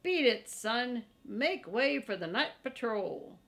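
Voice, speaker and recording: A woman voicing a gruff, bossy male ranch dog in a storybook, with an impatient, folksy, commanding manner. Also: a little high, expressive, slow pace, fairly bright tone, heavily acted.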